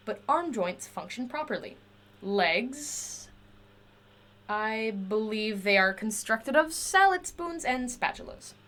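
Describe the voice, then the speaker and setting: A woman's voice: mid-range voice, fairly bright tone. A woman playing an eccentric, obsessive inventor in an audio drama, proud and volatile, speaking in formal, clinical research notes. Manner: formal clinical diction breaking into pleading outbursts. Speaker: voice actor.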